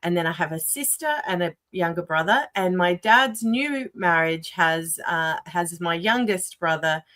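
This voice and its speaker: A middle-aged Australian woman with a fairly low voice, speaking as a podcast guest. She talks about herself in a candid, reflective, conversational way. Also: lively intonation, measured pace, hardly any pauses, fairly bright tone. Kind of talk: conversational interview.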